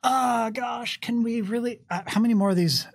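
A man's voice: very high-pitched, moderate pace. A man in his thirties chatting casually as a player in a tabletop game, with a playful, joking manner. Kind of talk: casual chat during game play. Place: indoor studio, seated at a game table.

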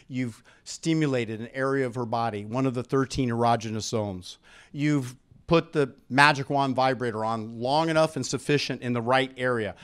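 A middle-aged man speaking as a presenter at an expo, pitching to a live audience in an animated way on a handheld microphone in a conference room. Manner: animated, engages audience with questions and prompts. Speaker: expo speaker and product promoter. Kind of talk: promotional seminar talk to a live audience.

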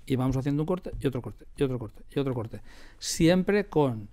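A man's voice: medium pitch, lively intonation, normal speed, warm tone. A man with an accent from Spain, explaining production work casually in an interview, repeating phrases for emphasis. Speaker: audio drama producer.

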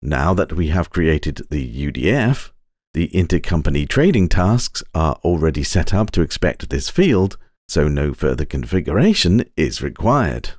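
Male tutorial narrator, low register, very varied intonation, precise scripted instructional read, dry sound. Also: big pitch swings, a little mellow, no room sound. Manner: measured, precise step-by-step instruction. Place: close, dry voice-over recording.

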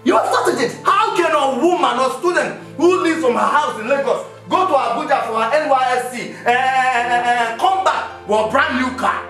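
A man with a Nigerian accent playing an angry, jealous husband who shouts and repeats himself, recorded in an echoey room away from the mic with music behind. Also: extremely high pitch, moderate pace, few pauses, recorded from a distance. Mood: outraged. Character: angry jealous husband protesting wife's job. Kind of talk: comedy skit acting.

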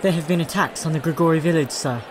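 An actor playing a deferential messenger with urgent news in a fantasy audio drama, formal and hurried. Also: male voice, fairly high pitch, noisy background, natural voice.

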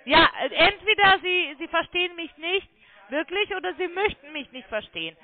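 High-pitched, insistent woman on a phone line. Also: lively intonation, normal speed. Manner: insistent, condescending. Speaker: call centre sales agent. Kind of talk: phone sales call.

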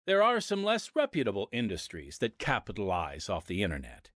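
A man's voice: lowish voice, huge pitch swings, a little fast, fairly bright tone, no room sound, clean studio sound. A man hosting and narrating a scripted audio drama podcast with a dramatic storyteller's delivery. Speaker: host and narrator.

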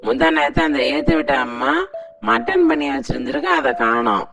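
Creaky, complaining neighbour man acted by a man. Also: very high-pitched, wildly swooping pitch, a little fast, exaggerated voice. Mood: aggrieved. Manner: complaining, officious. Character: aggrieved, officious male neighbour at a residents' meeting. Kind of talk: Tamil cartoon story dialogue. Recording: distant from mic, music behind.

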